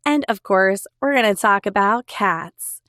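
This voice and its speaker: An enthusiastic woman hosts her own podcast, talking chattily straight to her listeners. Her voice is a little raspy from a lingering cold. The sound is close and dry, with no room around it. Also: mid-range voice, a little slow, a bit bright, dry recording. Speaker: podcast host. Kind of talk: solo podcast monologue.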